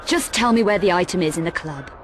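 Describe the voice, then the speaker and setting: An actress playing a tough young woman adventurer in a video game, dry and deadpan with a veiled menace. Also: fairly low pitch, quick pace, a little hiss or hum, natural voice. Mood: cool, threatening. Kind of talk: video game cutscene acting.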